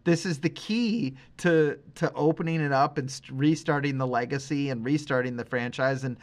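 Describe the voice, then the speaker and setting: A man's voice: mid-range voice, normal speed. A man co-hosting a podcast, casually discussing film in an effusive, emphatic way, often repeating phrases for weight. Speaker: podcast co-host.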